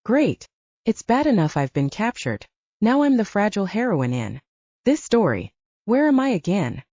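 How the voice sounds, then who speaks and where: A woman's voice: medium pitch, sing-song, normal speed, mellow. A woman voicing an irritable, principled young male wizard held captive, grumbling sarcastically in an audiobook. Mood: sarcastic. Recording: roomy, dull lo-fi audio.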